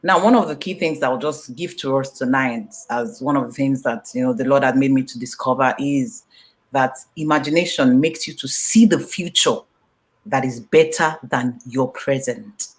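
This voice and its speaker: Yoruba-native woman talking about her book, lively, laughs a lot, lots of "you know"s. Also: a bass voice, normal speed. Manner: animated, laughing, repetitive fillers. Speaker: author being interviewed. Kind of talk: book launch interview.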